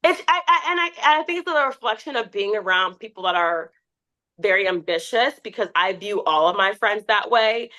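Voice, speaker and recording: Twenty-something woman talking freely on a podcast, mid pitch, keeps acting out what people said. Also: normal speed. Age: 20s. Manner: candid, animated storytelling with quoted asides. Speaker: podcast guest, TikTok creator. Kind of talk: casual interview chat.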